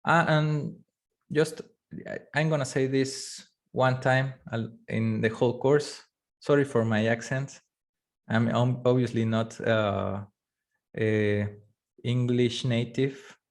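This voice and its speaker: A man whose first language is Spanish, teaching a live online course, talking in a halting way with many fillers and restarts. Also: mid-range voice, steady tone, creaky. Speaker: course instructor. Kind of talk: live online class lecture.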